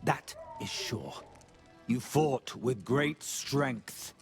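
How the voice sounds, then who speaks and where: An actor playing a proud, wary gorilla lord in a fantasy game, with slow, weighty and imperious delivery. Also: male voice, mid-range voice, creaky, soft background music. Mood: grave, concerned. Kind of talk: dramatic fantasy game acting.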